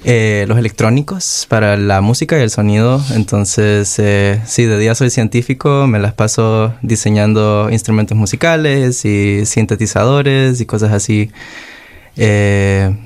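A man's voice: lowish voice, unhurried, a little mellow, clean tone. A man from Honduras, a guest musician, chatting casually with frequent hesitations. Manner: hesitant, many fillers. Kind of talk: casual conversation.

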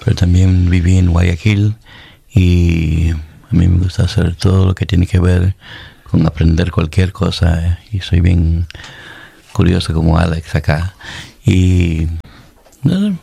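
Gravelly, slow deep man from Chicago. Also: steady tone, warm tone, no echo. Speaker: guest musician, organist. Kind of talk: casual conversation.

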